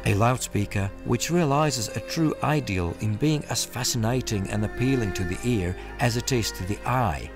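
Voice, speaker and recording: A man reading scripted promotional documentary narration in a polished, measured manner. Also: mid-range voice. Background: music.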